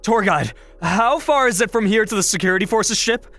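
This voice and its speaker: A man playing an exasperated, bossy, panicky passenger in a space audio drama, speaking forcefully and impatiently. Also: high voice, expressive, fairly quick, no echo, faint music behind, heavily acted. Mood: alarmed. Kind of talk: urgent dialogue in audio drama.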